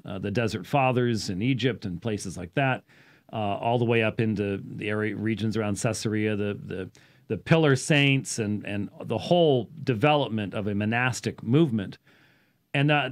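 A man gives an off-the-cuff explanatory talk as a show host, halting at times and leaning on key words. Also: on the low side. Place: small indoor study with bookshelves. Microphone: studio microphone on boom arm, close.

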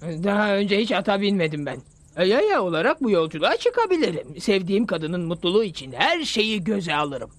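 A man's voice: high-pitched, sing-song, fairly quick. A man voicing a timid, poetic young newlywed in a Turkish cartoon dub, declaiming in florid, theatrical style. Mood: romantic, poetic. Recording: music bed under the dialogue.

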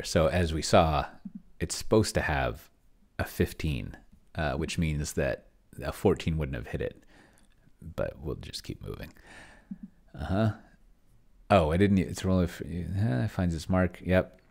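Very deep, gravelly middle-aged man. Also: darkish tone. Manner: halting, muttering to himself while working. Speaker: solo tabletop roleplayer testing an AI game master. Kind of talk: casual screen-share commentary, thinking aloud. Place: indoors at a desk in a home room.

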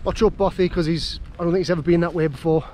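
High-pitched Geordie man in noisy wind. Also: fairly even intonation, very fast, warm tone. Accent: Geordie, North East English. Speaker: vlogger on a camping trip. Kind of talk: casual outdoor vlog chat. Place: outdoors on windy open hills. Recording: wind-buffeted outdoor camera audio.

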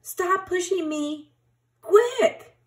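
A woman voicing indignant, prickly woodland animals squabbling in a children's storybook, exclaiming loudly. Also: animated, extremely slow, exaggerated voice. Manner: high, loud exclaiming.

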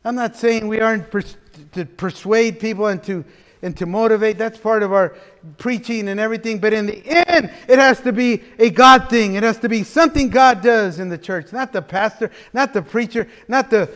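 A middle-aged pastor, preaching an animated sermon from a church pulpit with playful asides. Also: male voice, sits high, normal speed. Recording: long reverb of a church hall.

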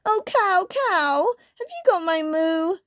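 A woman's voice: extremely high pitch, expressive, slow pace, dry recording, studio quality, voice pushed up, full character voice. A woman voicing a tearful, anxious little kitten from a storybook, with a plaintive, wailing manner.

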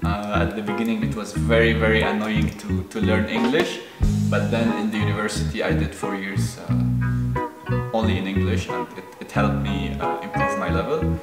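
A man's voice: fairly low pitch, fairly even intonation, normal speed, roomy sound, loud music behind the voice. A man in his thirties from Lebanon, a native Arabic speaker, giving thoughtful interview answers with many hesitations. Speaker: guest. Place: indoor room on a sofa.

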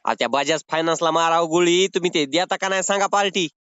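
A man's voice: a little high, quick pace, fairly bright tone, smooth, no room sound. A man making a prank phone call, bantering in an insistent, wheedling, mock-pleading way. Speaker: prank caller. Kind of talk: unscripted-sounding phone banter. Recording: dull lo-fi phone call recording.

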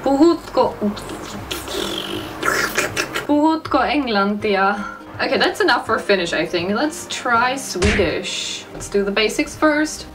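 A woman's voice: a little high, lively intonation, recorded from a distance, noisy background. Chatty German woman vlogging. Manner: chatty, self-mocking, sounds out words slowly. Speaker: YouTuber. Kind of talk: casual language-learning vlog. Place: bedroom, indoors. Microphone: studio mic off camera.

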